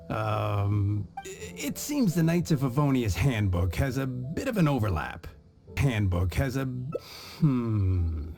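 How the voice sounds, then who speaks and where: Cautious gate guard, formal, by-the-book, mellow tone, lively pitch. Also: male voice. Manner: formal, by-the-book, hesitant when unsure. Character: cautious, rule-bound male gate guard. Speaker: city guard. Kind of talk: video game voice acting. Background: soft game music.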